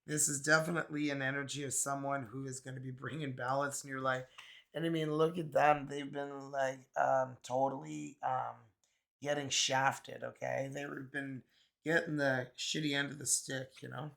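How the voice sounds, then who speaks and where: Hoarse, raspy man from Canada; casual, musing tarot reader, gravelly fry, mid pitch. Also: level tone, moderate pace, fairly bright tone. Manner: chatty, musing, many fillers. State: hoarse, recovering from illness. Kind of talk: casual tarot card reading.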